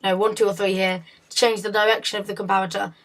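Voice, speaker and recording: A woman whose voice is hoarse and fading walks viewers through a game build. She talks casually and corrects herself as she goes, pitched mid-range for a woman. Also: level tone, quick pace. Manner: chatty, self-correcting, often laughs at slips. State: hoarse, losing her voice. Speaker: video tutorial host.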